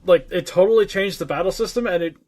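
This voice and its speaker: High-pitched man in casual chat. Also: quick pace, darkish tone. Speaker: co-host of a gaming discussion podcast.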